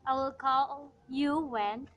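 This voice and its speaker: Woman as a high-voiced, unruffled telephone operator. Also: fairly even intonation, takes it slowly, a bit bright. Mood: calm, formal. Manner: formal, unruffled, scripted politeness. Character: polite, unhelpful female telephone operator, calm and procedural. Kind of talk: amateur radio play acting.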